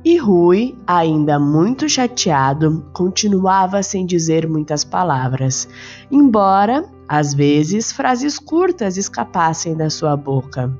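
Woman narrator, bedtime host, unhurried and calm, slightly muffled recording. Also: lowish voice. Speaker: bedtime-story podcast host. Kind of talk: slow storybook narration. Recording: slightly muffled with soft music.

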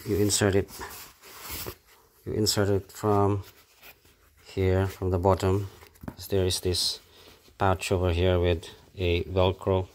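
A middle-aged man with a Filipino accent, off camera in a carpeted room, speaking in a halting, casual way with many fillers and restarts as he shows an item to a handheld phone camera. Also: low-pitched, monotone, a little mellow, slight background noise. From Philippines. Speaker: product reviewer. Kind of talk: casual unboxing commentary.

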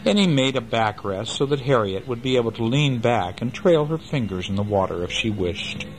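A man's voice: mid-range voice, lively intonation, normal speed, slight background noise, poor audio. Older man reading a bedtime story. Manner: gentle, chatty, asks the child questions.

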